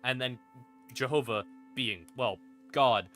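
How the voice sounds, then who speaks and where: A man casually explaining game lore on a stream in an irreverent, sweary, rambling way, with dramatic pauses for emphasis. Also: mid-range voice, fairly bright tone. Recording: music playing in the background. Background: creepy ambient music.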